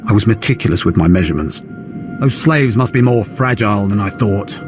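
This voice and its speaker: A man as a low-voiced, callous scientist. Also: animated, a little fast, over music, natural voice. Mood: frustrated. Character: callous male poisoner scientist, fussy and self-absorbed. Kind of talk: video game acting.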